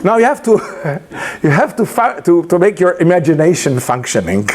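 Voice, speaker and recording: A man's voice: high-pitched, moderate pace. A man giving an informal lecture, telling a story with many hesitations and restarts, English not his first language. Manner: animated storytelling with laughs. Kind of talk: informal lunchtime talk.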